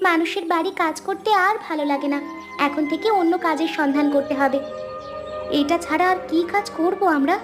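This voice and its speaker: A woman plays a poor young village girl in a cartoon tale. The voice is very high, earnest and plaintive, with expressive delivery over clearly audible music. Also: a little fast. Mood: weary. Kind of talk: Bengali cartoon story dubbing.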